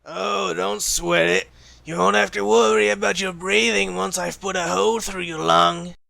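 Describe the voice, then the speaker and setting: Casting: male actor as sardonic secret agent, high-pitched for a man, heavy vocal fry, flippant and teasing, audio roleplay. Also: fairly even intonation, normal speed, sharp tone, no room sound, exaggerated voice. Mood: flippant, menacing. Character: callous, sarcastic male secret agent who jokes about killing. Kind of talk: ASMR roleplay acting.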